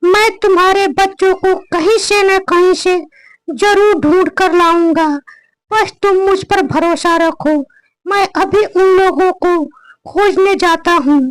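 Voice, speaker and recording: A man voicing a helpful, caring cartoon parrot with a squeaky voice and an earnest, polite, brotherly manner. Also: fairly even intonation, takes it slowly, a bit bright, no echo, full character voice, low-quality recording. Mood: concerned, reassuring. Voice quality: squeaky, artificially high.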